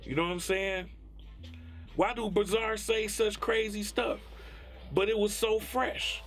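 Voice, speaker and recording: High-voiced man, talking candidly. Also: little pitch movement, measured pace, fairly bright tone. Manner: emphatic, profane, tags phrases with "you know what I'm saying". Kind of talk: candid documentary interview. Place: small foam-padded recording studio. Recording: faint music behind the voice.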